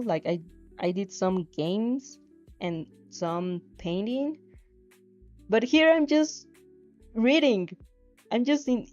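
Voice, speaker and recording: Slow-paced, mellow female streamer. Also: mid-range voice. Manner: giggly, rambling, self-interrupting. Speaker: streamer, fanfiction reader. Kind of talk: livestream reading aloud and casual chat. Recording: slightly muffled audio with music behind.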